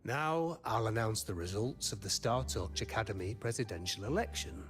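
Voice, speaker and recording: A man's voice: on the low side, lively intonation, normal speed, a bit bright. A man acting as a formal academy official in a video game, speaking ceremoniously. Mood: formal, congratulatory. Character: formal male academy official presiding over an election. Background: music.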